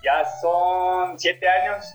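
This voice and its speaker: A man's voice: high-pitched, fairly even intonation, drawn-out words, roomy sound, faint music behind. A man from Mexico City with a Mexico City Spanish accent, a podcast guest talking casually and rambling with many restarts. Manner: rambling, hesitant, lists things with restarts. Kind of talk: casual chat.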